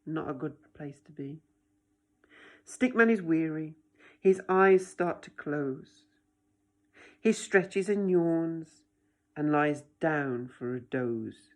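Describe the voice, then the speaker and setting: Woman teacher doing storytime, takes it slow, voice a bit low, dramatic, talks right to the kids. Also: expressive. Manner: dramatic, addressing young listeners directly. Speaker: children's story reader, teacher. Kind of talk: expressive picture-book reading with asides to children.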